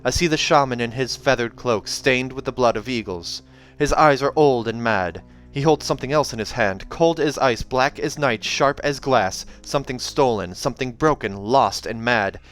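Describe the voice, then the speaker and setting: A man's voice: medium pitch, normal speed, few pauses, a bit bright, close to an everyday voice. Man acting a wild, haunted young seer woman. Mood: visionary, rising. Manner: trance-like, incantatory, dramatic. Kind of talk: audiobook character acting.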